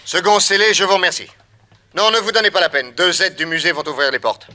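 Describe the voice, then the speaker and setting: An actor playing a bold detective who addresses officials formally and with authority in a French radio drama. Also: male voice, high voice, brisk, pushed and bright, slight background noise. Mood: commanding. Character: bold, commanding male detective.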